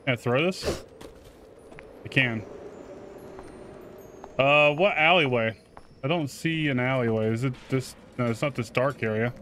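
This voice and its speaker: A man giving casual first-look game commentary, excitable and sweary, reacting aloud and chatting to his viewers. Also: medium pitch, measured pace, pauses often, a little hiss or hum. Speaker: gaming video host.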